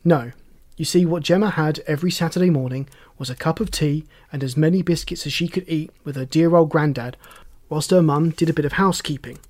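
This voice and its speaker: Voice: medium pitch, fairly quick, a little mellow, close to an everyday voice. Narrator acting wry, commanding police inspector. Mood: folksy, explaining. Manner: folksy, teasing, pointed questioning.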